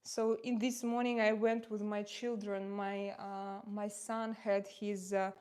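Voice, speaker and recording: A forty-something woman speaks English with a noticeable Russian accent, chatting at an easy, unscripted pace. She often pauses on fillers and restarts her sentences as she talks to her stream audience. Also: medium pitch, flat delivery, a little mellow, smooth. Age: 40s. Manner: chatty, halting, many fillers, reads out viewer comments. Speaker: live streamer. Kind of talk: casual livestream chat while doing makeup.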